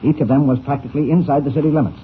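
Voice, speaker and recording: A man's voice: a little high, racing, narrow, tinny audio, close to an everyday voice. A brusque older newspaper editor, played by a man, emphatic and impatient, rattling out clipped phrases in an old radio serial. The recording is thin, with some hiss and crackle. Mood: grave, intrigued. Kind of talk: 1940s radio serial acting.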